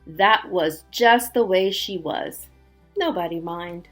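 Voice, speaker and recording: A woman teacher reading aloud and explaining words to young children in an expressive way, with simple asides and check-in questions. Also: lowish voice, slow, faint music behind. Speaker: elementary school teacher. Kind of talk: read-aloud storytelling and word lesson for young children.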